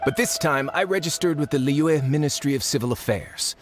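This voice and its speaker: An actor playing a scheming foreign archaeologist who speaks politely and persuasively, in a game cutscene. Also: male voice, fairly high pitch, a little fast, close to an everyday voice. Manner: polite, persuasive, slyly eager. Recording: game audio with music.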